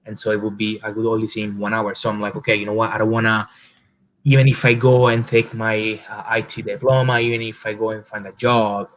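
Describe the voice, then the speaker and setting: Italian-accented young man, low flat voice. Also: a little hiss or hum, poor audio. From Italy. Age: 20s. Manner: hesitant, many fillers and restarts. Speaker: podcast guest, entrepreneur. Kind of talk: casual interview storytelling.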